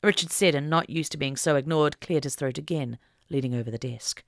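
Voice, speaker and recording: A woman narrating an audiobook story in a deliberate, expressive, dramatic reading style. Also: low-pitched, no room sound, studio quality.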